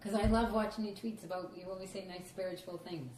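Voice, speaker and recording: A woman with a Boston accent hosting a podcast interview in casual chat, laughing often and talking in quick run-on bursts. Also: mid-range voice, fairly even intonation, roomy sound, background noise. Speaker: podcast host.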